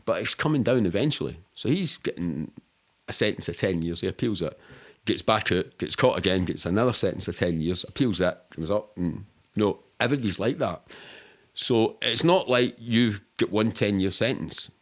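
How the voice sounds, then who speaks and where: A man's voice: on the low side, lively intonation, normal speed. A middle-aged man with a Glaswegian Scottish accent, telling anecdotes casually in a candid, rambling, sweary way. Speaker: podcast guest.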